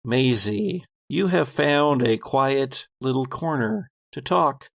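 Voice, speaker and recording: A man narrating a tabletop game as game master, improvising in a deliberate, halting, dramatic way. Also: mid-range voice, animated, vocal fry, no room sound.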